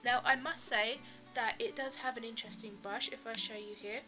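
Young girl with a blocked-up nose from a cold, kinda high voice, rambly chatty beauty review on her webcam, music playing under it. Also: steady tone, fairly quick, lo-fi sound. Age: 20s. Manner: chatty, friendly, rambling with frequent restarts. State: congested from a cold, stuffy nasal voice. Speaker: beauty vlogger. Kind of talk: casual product review to camera. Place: bedroom, indoors. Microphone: laptop webcam at close range.

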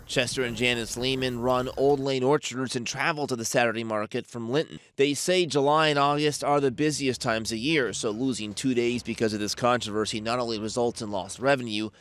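Male news reporter, measured voice-over, mid pitch, steady. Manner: measured, even newsreader delivery.

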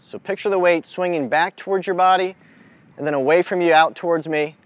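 A man speaking as a mental toughness coach, giving a deliberate, instructive motivational lesson to a group out in the open. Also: on the high side, no room sound. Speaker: mental toughness coach leading a youth clinic. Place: outdoors on an amphitheater lawn.

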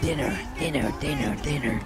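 A man's voice: a little high, normal speed, over loud music, natural voice. A man voicing a ravenous, crazed swamp monster in game roleplay, in an exclamatory manner. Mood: gloating.

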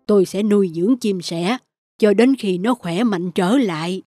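A woman voicing a gentle old woodcutter in a children's fairy tale, softly and compassionately. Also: medium pitch, moderate pace, voice pushed down, natural voice. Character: kind, gentle old woodcutter, a poor man. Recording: music behind the voice.

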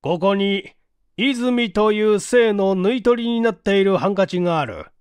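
Cool, probing police chief for mystery fiction, acted by a male reader, formal, deliberate, dry sound. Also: sits high, expressive, a little mellow, clean tone, no echo, voice pushed up. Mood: accusing, stern.

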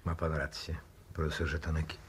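An actor playing a grave, resolute scientist in a 1960s radio drama, speaking in a measured, courteous, solemn way with a husky voice. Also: male voice, extremely low pitch, little pitch movement, heavily acted. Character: grave, resolute scientist disillusioned with his work.

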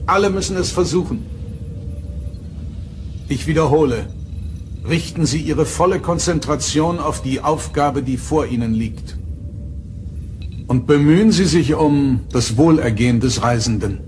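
An actor playing an earnest starship captain in an audio drama, speaking formally and with authority. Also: male voice, fairly high pitch, unhurried, long pauses, darkish tone, natural voice. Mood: solemn command. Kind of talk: German audio drama acting. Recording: studio drama with music underneath.

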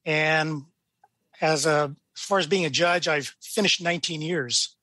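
A man's voice: a little high, steady tone, unhurried, bright, forceful tone, dry recording. An American man in his sixties from California, a judge telling his story casually as an interview guest. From California, United States. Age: 60s.

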